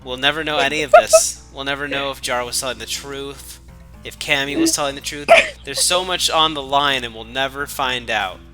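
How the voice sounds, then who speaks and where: A man's voice: mid-range voice, sing-song, moderate pace, over music, low-quality recording. A man doing a mocking dramatic reading with sweary, joking commentary and a mock-dramatic manner.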